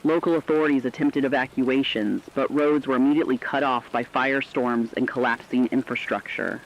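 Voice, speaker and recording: A man playing a somber radio correspondent, reading a scripted disaster report in a serious, deliberate, ominous way. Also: mid-range voice, fairly even intonation, muffled recording, a little hiss or hum, lightly acted. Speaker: news correspondent.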